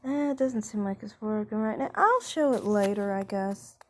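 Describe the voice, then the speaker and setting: A woman's voice: mid-range voice, a little mellow. A young woman who sings, talking casually about her voice type in a rambling, hesitant way with many fillers. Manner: speaks slowly. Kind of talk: casual vlog chat.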